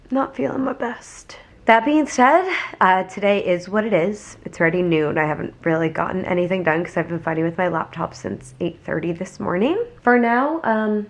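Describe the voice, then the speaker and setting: A woman's voice: lowish voice, a bit bright. A young woman with a cold, chatting candidly to camera in a casual vlog, full of fillers. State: has a cold. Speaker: vlogger. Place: home, grocery store and car.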